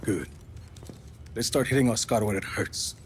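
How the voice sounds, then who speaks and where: A man's voice: lowish voice, moderate pace, slight background noise, close to an everyday voice. An actor playing a guarded, stubborn male action hero with a terse, blunt manner in a video game cutscene. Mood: determined.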